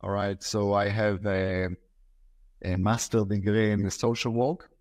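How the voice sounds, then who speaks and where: A man in his forties with an Israeli accent, a native Hebrew speaker, talking as an interview guest in a halting, sincere way. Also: fairly low pitch, level tone, darkish tone, no echo, studio quality. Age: 40s. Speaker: podcast guest, psychotherapist. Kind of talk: conversational interview. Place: small office, video call.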